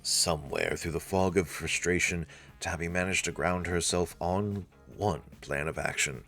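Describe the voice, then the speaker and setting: A man's voice: low voice, moderate pace, sharp tone, faint music behind. A man playing through a game with casual commentary, wry asides and read-aloud narration. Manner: laid-back, wry asides. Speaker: video game let's player.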